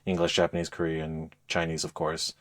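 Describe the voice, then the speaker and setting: A man speaking as a podcast co-host, explaining things in a casual, halting, conversational review style. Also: extremely low pitch, completely flat delivery. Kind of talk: casual game review chat.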